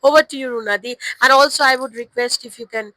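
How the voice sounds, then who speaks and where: A woman with a hoarse voice, hosting and introducing guests at a book fair stall with effusive, formal introductions. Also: high voice, quick pace, sharp tone, no room sound, low-quality recording. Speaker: publisher's host. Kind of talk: event introduction.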